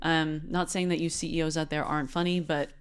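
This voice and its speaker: A woman's voice: low-pitched, level tone, fairly quick, a little hiss or hum. A woman from the United States co-hosting a marketing podcast in a playful, casual chat, laughing often. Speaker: podcast co-host.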